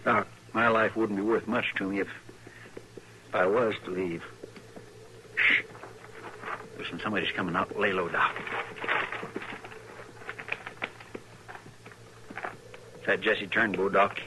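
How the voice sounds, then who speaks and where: An actor playing a loyal, stubborn western sidekick with a rural Western American drawl, in old-time radio style. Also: male voice, fairly low pitch, steady tone, normal speed, long pauses, lightly acted. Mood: earnest, hushed. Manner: folksy drawl, threatening when crossed. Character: loyal, rough-talking western lawman's sidekick, folksy and stubborn. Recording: noisy, clicky old radio recording.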